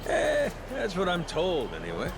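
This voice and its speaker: An actor playing a cocky middle-aged Yakuza turned pirate captain, with a brash, slangy drawl. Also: male voice, high voice, huge pitch swings, normal speed, noisy background, full character voice.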